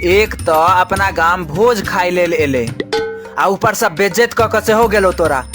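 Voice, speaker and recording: A man's voice: high voice, unhurried. A man voicing an officious village organizer who mediates in a comic cartoon, placating and exclaiming in a Maithili accent. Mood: curious, shocked. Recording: music behind the voice.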